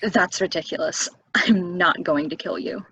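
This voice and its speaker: A woman's voice: lowish voice, measured pace, background noise, natural voice. An actress playing a level-headed, skeptical woman with a dry, conversational delivery, in a table reading of a stage play. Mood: alarmed, defensive.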